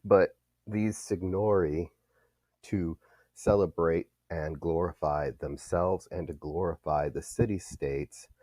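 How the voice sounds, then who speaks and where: A man's voice: deep voice, fairly even intonation, slow pace, dark tone, no echo. A male history teacher giving a recorded lecture, explaining steadily with some fillers.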